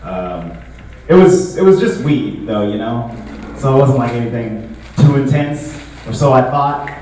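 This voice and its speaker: A young man performing a stand-up comedy set in a relaxed, conversational way, full of filler words. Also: mid-range voice, unhurried. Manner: laid-back, conversational, frequent filler words. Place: comedy show venue with a live audience. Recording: echoey room, distant mic, audible noise.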